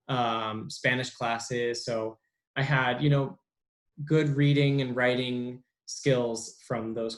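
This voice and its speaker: A young adult man as an interview guest, talking casually with many pauses and restarts. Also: medium pitch. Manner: halting, lots of ums and restarts. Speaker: interview guest, bilingual speech therapist.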